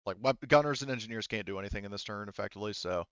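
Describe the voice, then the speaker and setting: A man's voice: lowish voice, very fast, fairly bright tone, no echo, dull audio. A man running a tabletop game as game master, talking casually and hesitantly as he thinks through and explains the rules.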